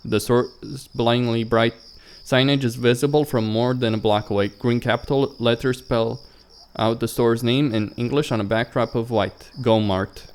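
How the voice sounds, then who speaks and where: A man's voice: mid-range voice, steady tone, moderate pace, clear, resonant tone. A man hosting a let's play, reading visual novel narration aloud as a story, sometimes stumbling over words. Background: faint music.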